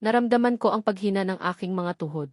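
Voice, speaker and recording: A woman's voice: medium pitch, fast, darkish tone, no echo, clean studio sound. A woman with a Filipino accent reading a dramatic first-person story as a narrator.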